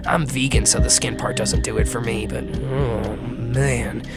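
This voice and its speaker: An actor playing a droll, creepy creature who hosts a campy horror anthology, with a gravelly voice. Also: medium pitch, fairly even intonation, measured pace. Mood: droll, ghoulish. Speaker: podcast host character. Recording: loud music behind the voice.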